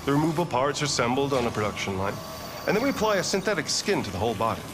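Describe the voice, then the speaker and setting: A man playing a self-assured tech founder in his thirties in a game, measured and explanatory, recorded with ambient noise. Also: mid-range voice, big pitch swings, over music, close to an everyday voice. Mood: confident, explanatory. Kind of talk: scripted game interview. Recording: clear ambient noise behind voice.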